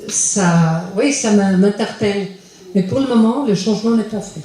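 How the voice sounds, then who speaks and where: A woman's voice: mid-range voice, moderate pace, mellow. A German-speaking woman in the audience speaking hesitantly, searching for her words. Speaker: audience member. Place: attic lecture hall in a school. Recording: very echoey hall.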